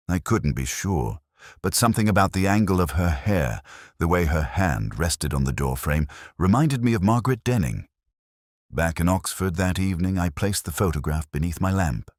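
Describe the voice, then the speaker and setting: Man as very deep, brooding police inspector. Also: darkish tone, dry recording, studio quality. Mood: grave, pensive. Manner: measured, literary, melancholy. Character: weary, brooding male police inspector, narrating in first person. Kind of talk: scripted first-person mystery narration.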